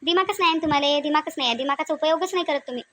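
A woman voicing a sharp-tongued, middle-aged village mother-in-law in a comic cartoon, complaining and scolding in a Varhadi Vidarbha accent. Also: high-pitched, rapid-fire. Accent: Varhadi Marathi of Vidarbha. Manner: complaining, scolding, rhetorical questions.

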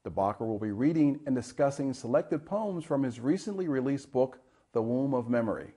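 A man's voice: mid-range voice, lively intonation, a little fast, mellow, breathy edge. Man hosting a studio interview. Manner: stumbling, repeating words when asking questions. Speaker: TV host. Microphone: lapel.

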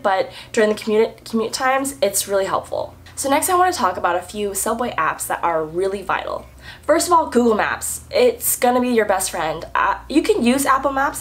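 A young woman in her twenties talks straight to the camera in a chatty, upbeat explainer vlog. Her voice sounds a little rough and off from a recent flu. She is recorded indoors on a camera at chest height. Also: medium pitch. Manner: chatty, upbeat, lively hand gestures. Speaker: vlogger. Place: indoor room with french doors.

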